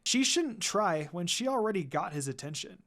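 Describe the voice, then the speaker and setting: A woman voicing a calm, composed young female ninja with a teasing streak in a fan fiction reading. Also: lowish voice, moderate pace. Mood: guarded. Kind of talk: fan fiction character dialogue read aloud.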